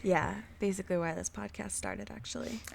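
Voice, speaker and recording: A woman's voice: mid-range voice, fairly even intonation, fairly quick, vocal fry. Gushing, emphatic woman podcast co-host. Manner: gushing, emphatic, self-mocking fan. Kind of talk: casual chat.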